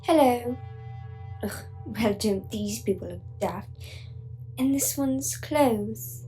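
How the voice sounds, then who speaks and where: A woman's voice: on the high side, animated, slow, warm tone, rough recording. A woman playing a haughty, sinister young stranger in a radio play, disdainful and snobbish. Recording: faint music behind.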